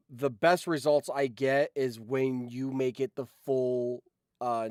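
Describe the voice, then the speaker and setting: A man's voice: medium pitch, a little mellow, smooth, dry recording. A young man hosting a casual livestream, talking in a rambling way that often trails off, close to the mic. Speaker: streamer and host.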